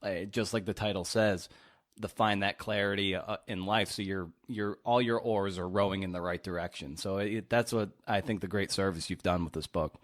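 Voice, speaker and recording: A man with a fairly low voice hosts a podcast. He speaks in an encouraging, conversational way, pausing now and then as he goes. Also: fairly even intonation. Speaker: podcast host. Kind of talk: conversational interview and podcast outro.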